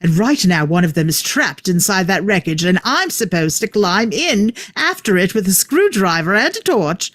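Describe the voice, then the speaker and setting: A man's voice: high voice, sing-song, normal speed, few pauses, a bit bright, no echo, heavily acted. A man reading the part of a clever, eccentric time traveller at a table read, explaining things with wry emphasis. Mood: grim, wry.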